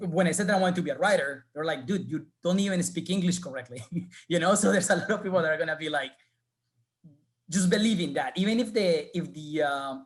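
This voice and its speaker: A man from Colombia with a Colombian Spanish accent, speaking earnestly as an alumnus on a webinar panel. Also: on the high side.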